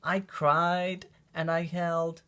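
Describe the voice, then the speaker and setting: A man reading a children's picture book aloud with expressive, deliberate phrasing. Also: fairly high pitch, smooth. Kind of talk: storybook read-aloud for kids.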